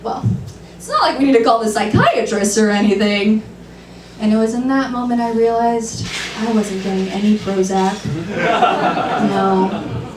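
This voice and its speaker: A woman in her thirties doing a dry, self-deprecating stand-up set, sometimes shrill and laughing at her own jokes. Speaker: stand-up comedian. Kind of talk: stand-up set at an open mic. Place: comedy club stage. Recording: roomy, distant, noisy club recording.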